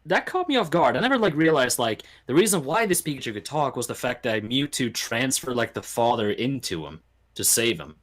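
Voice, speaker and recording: A man talks casually as the host of a podcast. His voice sits at a middling pitch and moves up and down a great deal, giving his informal chat a very lively melody. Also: fairly quick.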